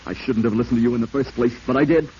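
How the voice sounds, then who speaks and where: A man's voice: medium pitch, rapid-fire, warm tone, close to an everyday voice. An actor playing a desperate, domineering corrupt lawman plotting murder, clipped and commanding, in 1940s radio drama style. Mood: cold, commanding. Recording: muffled hissy vintage radio recording.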